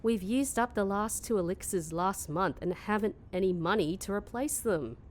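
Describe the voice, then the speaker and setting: A woman playing a weary, sarcastic young office worker in a mockumentary audio comedy, speaking in a deadpan way. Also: medium pitch, moderate pace, a little hiss or hum, natural voice. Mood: matter-of-fact. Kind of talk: mockumentary comedy narration and dialogue.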